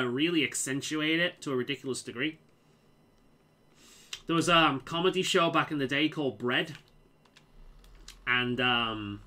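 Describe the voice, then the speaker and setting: A man's voice: a little high, pushed and bright. A man from England with a mild Liverpool accent, chatting casually while playing a game, often repeating words and restarting sentences. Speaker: game streamer.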